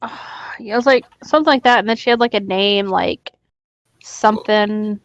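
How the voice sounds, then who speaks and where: A woman's voice: medium pitch, moderate pace, dull audio. A woman chatting casually and bluntly, with plenty of swearing. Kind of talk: casual chat.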